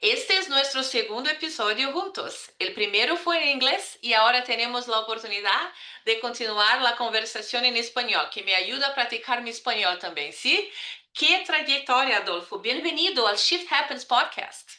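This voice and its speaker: A woman hosting a podcast, reading a scripted welcome and then interviewing a guest in an upbeat, measured way. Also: a little high, bright, forceful tone. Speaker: podcast host. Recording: echoey room.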